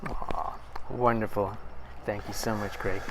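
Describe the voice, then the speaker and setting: A man's voice: on the low side, steady tone, a little slow, a little hiss or hum. A man hosting a poetry program in a conversational style. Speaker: host of a poetry series.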